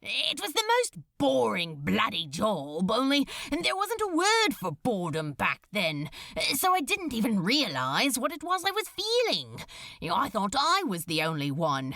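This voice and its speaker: A woman's voice: on the high side, bright, forceful tone, no room sound, clean studio sound, exaggerated voice. A woman voicing a jaded, rebellious male demon in an audiobook, rambling in a colloquial, exasperated way. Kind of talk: audiobook character voice.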